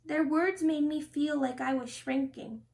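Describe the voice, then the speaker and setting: A woman's voice: sits high, steady tone, unhurried, creaky, darkish tone. A woman voicing a sensitive, insecure young giraffe schoolboy from a storybook, speaking earnestly. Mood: earnest, hurt. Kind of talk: children's storybook character reading.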